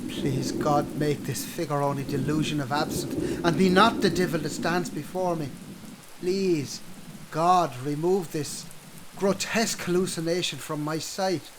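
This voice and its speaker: A man's voice: on the high side, steady tone, measured pace, noisy background, natural voice. A man playing a wily, cheeky Irish drinker and trickster in a radio play, wheedling in folksy old-fashioned dialect. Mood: pleading. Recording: loud music and rain behind.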